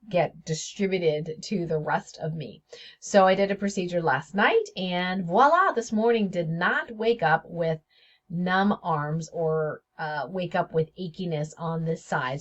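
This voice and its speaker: A woman hosts her own spiritual wellness video, talking in a loose, chatty way and often laughing between thoughts. Her voice sits fairly low for a woman. The recording sounds dull and lo-fi. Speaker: host of a spiritual health video series. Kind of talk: casual solo video talk. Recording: dull lo-fi audio.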